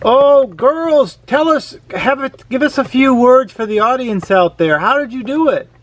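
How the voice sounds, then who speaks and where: A man's voice: extremely high pitch, lively intonation, measured pace, slight background noise. A man playfully announcing a show as host, with a theatrical, grandiose manner. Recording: music behind the voice.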